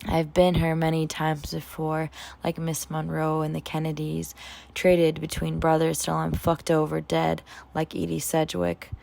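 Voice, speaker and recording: A woman in her twenties giving a spoken word poetry reading as a poet, with a measured, dramatic delivery. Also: deep voice, monotone, gravelly, darkish tone. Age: 20s.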